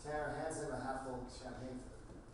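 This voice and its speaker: A man's voice: mid-range voice, level tone, normal speed. A man reading stage directions as the narrator at a script table read. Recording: very echoey room, distant mic, noisy.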